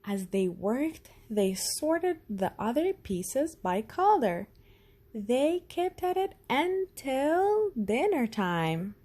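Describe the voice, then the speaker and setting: Animated, slow-paced woman storyteller. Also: high voice. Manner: expressive, deliberate. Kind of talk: children's storybook narration.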